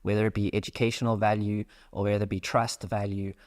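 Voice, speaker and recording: A man's voice: lowish voice, flat delivery, fast, darkish tone. A young man from South Africa hosting a casual podcast interview, explaining his ideas at length. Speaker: podcast host.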